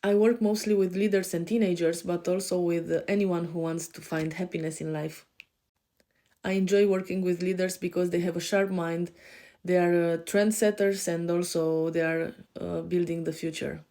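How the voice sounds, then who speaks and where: A woman in her forties with a Romanian accent, speaking in a low, mellow voice that stays fairly even in pitch. Her delivery is hesitant and punctuated by frequent pauses and fillers. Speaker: life coach and podcast co-host. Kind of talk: personal introduction on a podcast.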